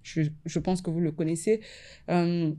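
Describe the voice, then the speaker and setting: A woman, an environmental lawyer from the Republic of the Congo, answering interview questions in a measured, casual way. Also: on the low side, level tone, dark tone. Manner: measured, repeats "effectivement" often.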